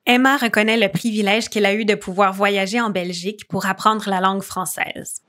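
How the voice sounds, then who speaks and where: A woman reading scripted narration in a clear, even manner. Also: medium pitch, fairly quick.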